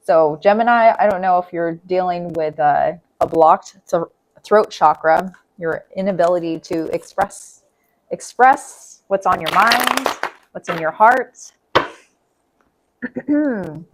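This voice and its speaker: A woman reading tarot in a casual, chatty way, thinking aloud and repeating herself, her voice going hoarse. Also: fairly low pitch, takes it slowly, pauses often, noisy background. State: losing her voice. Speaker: tarot reader.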